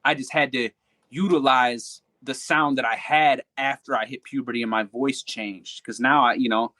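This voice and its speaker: A man's voice: mid-range voice, steady tone, normal speed, bright, forceful tone, no echo. Plain-voiced man chatting candidly. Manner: candid, rambling with restarts and fillers. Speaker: podcast guest, hip-hop artist. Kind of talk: casual interview chat.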